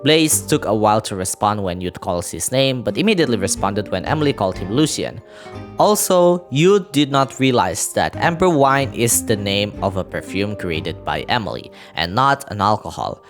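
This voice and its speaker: A man's voice: fairly low pitch, very animated, moderate pace, soft background music. A man narrating a scripted game story recap as a video creator, dropping in casual asides.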